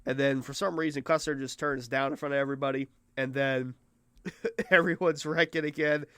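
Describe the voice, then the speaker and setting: A man hosting a racing podcast, recapping the race in a rambling, excitable casual way while his voice goes hoarse. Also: mid-range voice, normal speed, no room sound, clean studio sound. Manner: rambling and excitable, mimics officials in mock voices.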